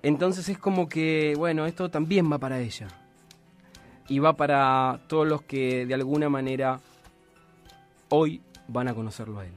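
A middle-aged man with an Argentine accent hosting a radio interview in a small studio, talking at an unhurried pace with frequent restarts. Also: fairly high pitch, faint music behind. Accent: Argentine Spanish. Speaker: radio host. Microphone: studio mic with headphones.

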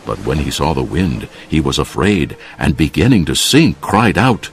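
A man narrating a dramatised Bible audiobook in a measured, solemn storytelling way. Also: rumbling low, very animated, breathy edge.

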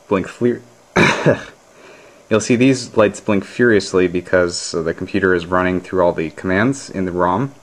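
A man casually narrating a show-and-tell of his homebuilt computer, hesitant, with many fillers and throat clearing, recorded on a handheld camera at a workbench. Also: low voice, poor audio. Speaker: hobbyist vlogger.

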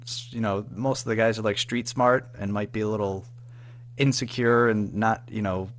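A man chatting casually as an interview guest, informal and halting, padding his sentences with filler phrases. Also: lowish voice, steady tone. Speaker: podcast guest, audio drama writer-producer.

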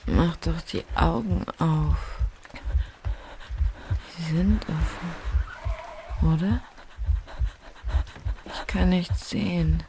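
Woman acting dying young woman, audio drama, halting, soft dark tone, bewildered inner monologue, faint noise. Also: on the low side, vocal fry, heavily acted. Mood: dazed, confused. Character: dazed, dying young woman after a car crash. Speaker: lead actor and inner-monologue narrator.

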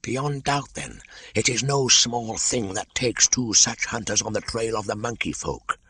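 A man voicing a wary old male python in a storybook reading, speaking slowly and deliberately. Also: on the low side, expressive, pushed and bright, husky, voice pushed up, full character voice. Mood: cool, measured. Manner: slow, drawn-out and measured. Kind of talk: audiobook character voice.

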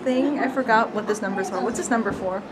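A woman's voice: mid-range voice, fast. A young woman casually vlogging and talking playfully to cats, filmed on a handheld camera indoors. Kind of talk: casual vlog chat. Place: busy indoor cat cafe. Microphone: handheld camera at arm's length. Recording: loud crowd chatter and background noise.

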